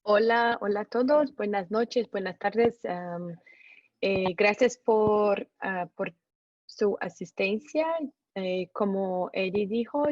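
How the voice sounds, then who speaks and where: Woman, community manager, slow halting speech, dry muffled call audio. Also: medium pitch, darkish tone, dry recording. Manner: halting, grammar slips, many fillers. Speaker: community manager for Latin America. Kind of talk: webinar welcome remarks. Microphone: computer mic on a video call. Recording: dull lo-fi video call audio.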